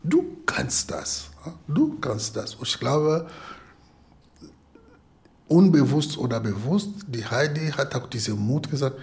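A man retelling a story in unscripted, reflective speech, with an encouraging manner and deliberate repetition. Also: medium pitch, sing-song, darkish tone. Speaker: interviewee retelling a film story.

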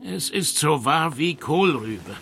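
Radio drama: sly, tight-fisted old invalid, very slow and halting, strained edgy tone, noisy background. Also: male voice, a little high, lively intonation, vocal fry, a bit bright, exaggerated voice. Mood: weary, solemn. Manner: laboured, halting speech in short phrases. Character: bedridden, miserly old working man, slow and sly. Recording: noticeable background noise.

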